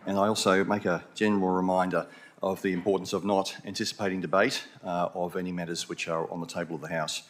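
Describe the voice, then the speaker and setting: A man's voice: sits low, flat delivery, fast talker. A man presiding over a parliament chamber, making a formal procedural announcement.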